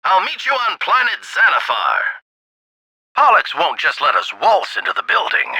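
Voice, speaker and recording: Gravelly, animated old alien general. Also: male voice, sits high, moderate pace, no echo, heavily acted, poor audio. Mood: wry, calm. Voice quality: gravelly, raspy. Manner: military, commanding, emphatic. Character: gruff older alien general, bold, urgent, loyal mentor. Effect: radio filter. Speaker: wingman pilot giving orders over radio. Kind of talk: video game voice acting, combat radio chatter.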